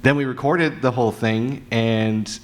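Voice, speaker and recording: A man's voice: medium pitch, fairly even intonation, unhurried. A man telling an anecdote as an audio drama writer on a live convention panel. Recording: noisy convention room panel.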